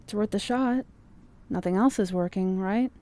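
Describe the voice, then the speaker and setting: A woman acting in an audio drama, playing a calm, soothing young woman with a wry streak. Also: medium pitch, moderate pace, natural voice. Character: calm, teasing young woman, terminally ill.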